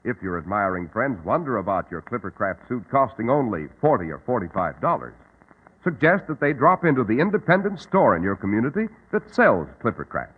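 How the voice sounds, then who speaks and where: Animated American 1940s radio announcer, man. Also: mid-range voice, a little fast, slightly rough. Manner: formal, polished salesman delivery. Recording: tinny, muffled 1940s radio recording.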